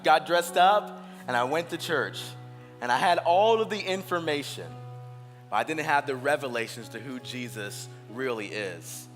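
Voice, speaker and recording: A pastor in his thirties sharing his story in an Easter sermon, earnest and personal, slowing down on key phrases. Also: mid-range voice. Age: 30s. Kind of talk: Easter sermon, shared testimony. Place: large church auditorium. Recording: reverberant hall with music underneath.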